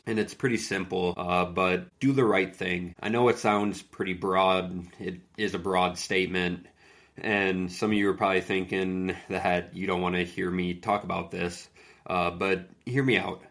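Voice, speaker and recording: Man, deep voice, monotone delivery, recovering from a cold, casual podcast host. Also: normal speed. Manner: casual, earnest, peppered with "like". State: getting over a cold. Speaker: podcast host and fitness coach. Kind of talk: solo podcast monologue.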